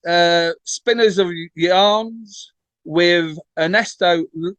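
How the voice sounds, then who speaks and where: A man with a distinctly high voice hosts a conversational interview. He speaks informally, with some hesitation, and the sound is dry and close, without room reflections. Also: very slow, a bit bright, clear, resonant tone, no echo. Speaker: interviewer, host.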